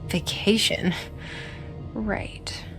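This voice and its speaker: An actress playing a composed, dry-witted agency director in a video game. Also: female voice, drawn-out words, over music. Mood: dry, puzzled. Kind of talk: video game character acting.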